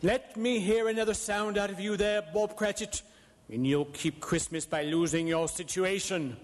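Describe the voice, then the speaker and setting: Man playing scornful old skinflint, high-pitched, grumbling, emphatic, radio drama, echoing hall. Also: fairly even intonation, normal speed, natural voice. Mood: scolding, angry. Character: miserly, bitter, scolding old businessman, scornful of cheer. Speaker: stage radio actor. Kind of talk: live radio drama in the manner of 1930s radio. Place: performing arts center stage. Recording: echoey theater hall, live radio broadcast.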